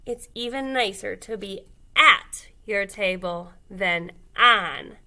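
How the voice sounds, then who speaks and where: A woman's voice: mid-range voice, slow pace, pushed and bright, full character voice. A woman voicing an anxious, plump male turkey with a hesitant, polite manner in a storybook. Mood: relieved, grateful.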